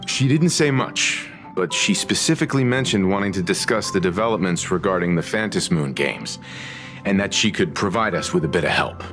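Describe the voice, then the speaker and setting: An actor voicing a courteous, steady gentleman who speaks in a polite, measured and formal way. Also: low-pitched. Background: game music.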